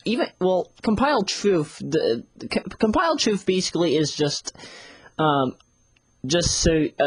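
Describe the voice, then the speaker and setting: Husky voiced reviewer, casual rambly audio log, pitch jumps around a lot, really dry close sound. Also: no room sound, poor audio. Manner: rambling, often repeats phrases to restart. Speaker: series reviewer.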